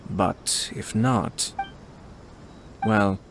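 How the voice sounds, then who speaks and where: A man voicing a composed young noble clan head who speaks in measured, formal, courteous sentences. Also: on the low side, a little hiss or hum. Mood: calm.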